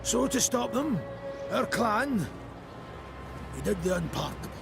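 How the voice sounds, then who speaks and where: A man playing an earthy, blustering dwarf clansman in a video game, with a Scottish accent and a gravelly voice. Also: high-pitched, very animated, measured pace, music in the background, full character voice. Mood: defensive. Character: crude, evasive dwarf clansman confessing uneasily. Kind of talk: scripted video game voice acting.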